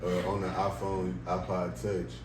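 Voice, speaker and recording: A young man with a very deep, low voice chats in a relaxed, slang-filled way, often checking in with his listener. He sounds set back from the mic in an echoey room, with steady noise underneath. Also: measured pace, distant mic. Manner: laid-back, slangy, full of "you know what I'm saying". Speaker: rapper guest. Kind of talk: casual interview chat.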